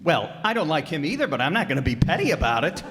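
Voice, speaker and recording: Man acting a high, cheeky, wisecracking pal. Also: big pitch swings, fast, a bit bright. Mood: cheeky, brazen. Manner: cheeky, flippant. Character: brazen, wisecracking freeloading pal. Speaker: radio player. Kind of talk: stage re-creation of old radio comedy. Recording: reverberant hall, live stage performance.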